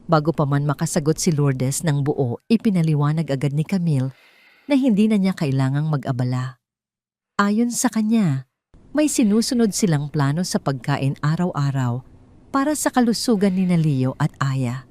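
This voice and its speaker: Low-pitched Filipino woman narrating a story. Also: lively intonation, normal speed, mellow. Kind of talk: scripted audio story narration.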